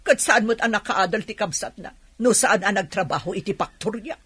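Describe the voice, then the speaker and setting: A woman playing a sharp-tongued, grudging, argumentative figure in Ilocano radio drama, with a Filipino accent. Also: medium pitch, fairly quick, husky.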